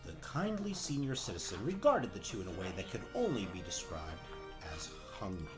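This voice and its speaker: A man's voice: fairly low pitch, huge pitch swings, a little fast, over music. A man narrating a comic fairy-tale radio play like a storybook, mock-dramatic and theatrical with wry asides.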